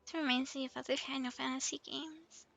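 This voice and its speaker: High, bright, giggly woman streamer. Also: a little fast, gravelly, muffled recording. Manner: giggly, excitable, exclamatory. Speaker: streamer, host of the show. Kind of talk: casual livestream game chat. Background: background music.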